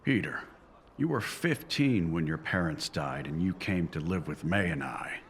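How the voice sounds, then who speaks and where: An actor playing a stern older uncle and newspaperman with a deadpan, deliberate delivery in a comic dub. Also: deep voice, slight background noise. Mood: stern, grave. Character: stern, dry-witted older uncle, plain-spoken newspaperman. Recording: music behind the voice.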